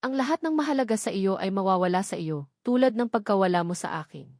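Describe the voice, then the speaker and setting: Woman narrator as threatening villainess, scorned and vengeful, romantic drama, medium pitch, dry recording. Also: expressive, fast talker, darkish tone, no echo, natural voice. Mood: menacing. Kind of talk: narrated story dialogue.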